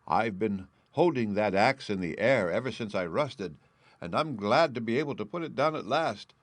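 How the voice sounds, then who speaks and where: A man voicing a polite, grateful male tin woodsman in a storybook, in a high, strained, slow and sad tone. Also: animated, dry recording.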